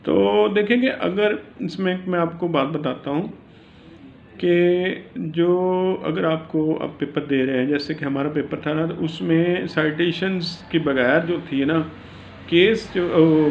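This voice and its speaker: A man's voice: a little high, vocal fry. Pakistani man lecturing, dull lo-fi sound. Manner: halting, repetitive, heavy use of filler phrases. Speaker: law lecturer. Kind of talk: informal lecture explaining law, mixing in English terms. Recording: dull lo-fi audio with faint noise.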